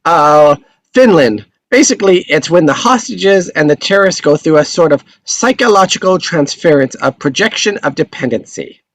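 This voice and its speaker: Role: a man reading a pompous TV pundit. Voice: somewhat high. Sound: dry. Style: table read. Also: animated, moderate pace, fairly bright tone, no echo. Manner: lecturing, pompous delivery. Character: self-important male TV expert.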